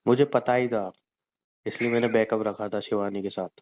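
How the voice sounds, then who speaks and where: A man's voice: fairly low pitch, fairly even intonation, normal speed. A young man bickering and teasing casually with his girlfriend over a phone call. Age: young adult. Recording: narrow tinny phone-line sound.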